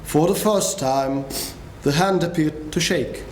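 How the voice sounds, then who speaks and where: A man's voice: on the high side, slow. A young man reading dramatic scripted narration of a story, as a student narrator. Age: young adult. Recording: echoey room with clear background noise.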